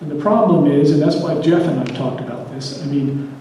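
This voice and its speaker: A man with a dark, mellow voice gives a rambling public comment, heavy with fillers and restarts. He sits far from the microphone in a large, echoing hall, and faint noise sits under the recording. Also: on the high side, a little fast. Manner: hesitant, many fillers and restarts. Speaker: community member speaking at a public meeting.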